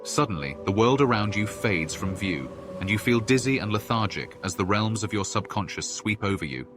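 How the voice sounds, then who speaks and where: A man's voice: lowish voice, expressive, normal speed, music in the background, natural voice. A man playing a solemn storyteller, reading scripted second-person narration for a fantasy game.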